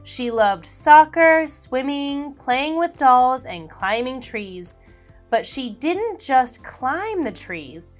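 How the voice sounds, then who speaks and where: Animated, slow-reading woman storyteller. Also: sits high, soft background music. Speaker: children's book reader. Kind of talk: expressive read-aloud for children.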